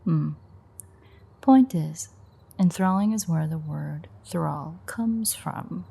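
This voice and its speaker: A husky, breathy vampire woman played by a voice actress speaks low and unhurried in an intimate audio roleplay. Her delivery is wry and teasing, with a dark predatory edge and a gravelly creak at the bottom of the voice. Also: full character voice. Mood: teasing, explaining. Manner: wry, foul-mouthed, teasing.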